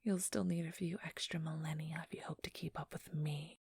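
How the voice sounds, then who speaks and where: A woman's voice: deep voice, steady tone, a little mellow, breathy edge, no echo, exaggerated voice. A woman playing a haughty, teasing, ageless vampire thief in an ASMR role-play, whispering breathily and condescendingly close to the microphone. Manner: condescending, teasing, drawn-out delivery. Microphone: close, dry microphone.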